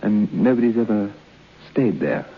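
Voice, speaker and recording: A man's voice: fairly low pitch, animated, unhurried, breathy edge. An actor playing a polite, stammering bachelor in his thirties, diffident and nervous, in a radio horror play. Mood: hesitant, uneasy. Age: 30s. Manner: stammering, diffident, well-mannered. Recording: muffled, noisy old radio recording.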